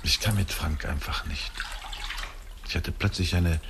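An actor playing a middle-aged widower talking bitterly to himself in a radio drama monologue. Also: male voice, low-pitched, a little slow, background noise. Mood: brooding, wry. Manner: rambling, bitter soliloquy. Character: brooding, self-justifying widowed father talking to himself.

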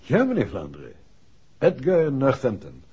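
An actor playing an affable, obliging bank manager in a 1960s radio drama, with a Dutch accent. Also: male voice, medium pitch, wildly swooping pitch, normal speed. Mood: businesslike. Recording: muffled 1960s radio recording, faint noise.

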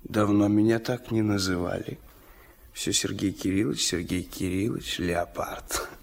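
An actor playing a genial, mildly grumbling elderly grandfather with a husky voice in a Russian radio drama. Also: low-pitched, fairly even intonation, moderate pace. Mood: mild, amused grumbling. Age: older. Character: genial, grumbling old grandfather roused from nap.

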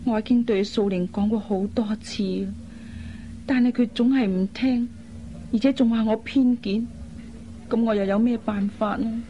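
女演員扮演聲線柔和、憂心忡忡嘅粵語女子. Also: female voice, a little high, fairly even intonation, unhurried, mellow, natural voice. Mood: fretful. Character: worried, caring young woman fretting over family. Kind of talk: Cantonese radio drama acting. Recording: dull lo-fi recording, faint noise.

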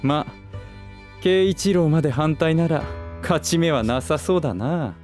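A man playing a suave, middle-aged gentleman who teases with smug, playful condescension in a voice drama. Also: on the high side, expressive, measured pace, soft background music. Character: suave teasing older man, playfully villainous. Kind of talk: voice drama acting.

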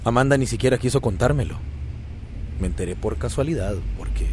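A man's voice: medium pitch, brisk, a little mellow, slight background noise, lightly acted. An actor playing a dejected, resigned father in a radio soap opera.

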